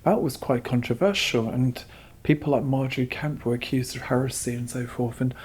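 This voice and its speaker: A man's voice: mid-range voice, fairly even intonation, normal speed, a little mellow, slight background noise. A man speaking as a curator interviewee, explaining emphatically and repeating words as he goes.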